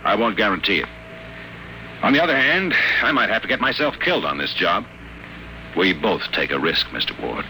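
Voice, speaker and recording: An actor playing a wry, hard-boiled private investigator, deadpan and wisecracking, in the noir style of old-time radio drama. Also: male voice, fairly low pitch, moderate pace, long pauses, very noisy. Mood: cool, confident. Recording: old radio recording with loud music.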